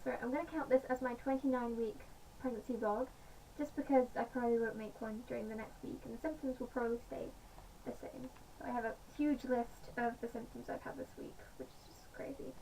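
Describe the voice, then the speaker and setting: A woman with a slightly croaky voice, chatting casually in a home vlog with many pauses and fillers. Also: fairly high pitch, steady tone, mellow. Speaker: vlogger. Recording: distant mic, faint background noise.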